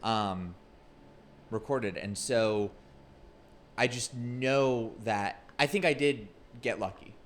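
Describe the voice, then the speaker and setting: A man around thirty, a guest comedian in casual podcast chat, talking in a measured, reflective way. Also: fairly low pitch, a little hiss or hum. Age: 30s.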